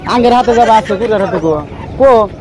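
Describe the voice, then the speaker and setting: Male prankster, high voice, rapid and repetitive, playing confused amid outdoor crowd noise. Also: music in the background. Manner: insistent, repetitive, feigning confusion. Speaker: prankster posing as a chicken buyer. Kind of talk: street prank banter in dialect. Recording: outdoor market with crowd and traffic. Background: busy outdoor crowd and vehicles.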